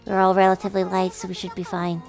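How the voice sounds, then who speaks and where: Woman doing a deadpan, measured cat girl. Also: on the low side, creaky, faint music behind. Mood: matter-of-fact. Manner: flat, measured, formal wording. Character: deadpan, cool cat girl, quietly clingy. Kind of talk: visual novel character voice in a let's play.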